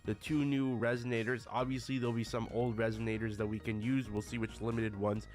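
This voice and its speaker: A man's voice: medium pitch, level tone, brisk, darkish tone, faint music behind. Slightly sick male gaming YouTuber. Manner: addresses viewers often as guys. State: a little sick. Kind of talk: casual video commentary.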